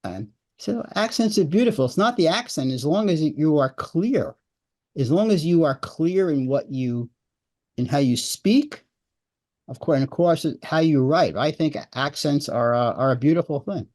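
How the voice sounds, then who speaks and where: A man's voice: on the high side, lively intonation, normal speed, darkish tone. A man speaking as a podcast guest, a business writing coach who explains his points conversationally, often repeating words as he thinks. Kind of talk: podcast interview.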